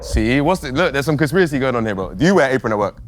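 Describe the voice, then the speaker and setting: A man's voice: mid-range voice, expressive, rapid-fire, slight background noise. A man with a London accent hosting casual banter in slangy, teasing, challenging speech. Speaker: game show host.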